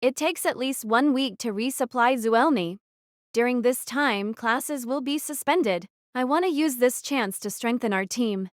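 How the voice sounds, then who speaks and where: Woman playing a high-voiced earnest girl captain. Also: measured pace, no echo, studio quality, natural voice. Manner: businesslike, earnest. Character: earnest, determined young female team captain. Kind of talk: anime-style audio drama acting.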